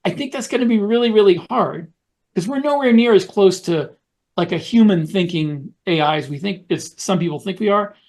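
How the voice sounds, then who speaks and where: A man co-hosting a podcast in casual panel discussion, speaking haltingly and repeating words as he searches for phrasing. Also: high voice, darkish tone. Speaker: podcast co-host.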